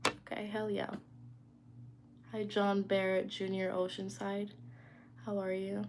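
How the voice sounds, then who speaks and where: Young woman rambling on a phone livestream from home, medium pitch, bit of faint background hiss. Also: completely flat delivery, takes it slowly. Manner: chatty, reads and answers comments. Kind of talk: casual live stream chat. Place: indoors at home.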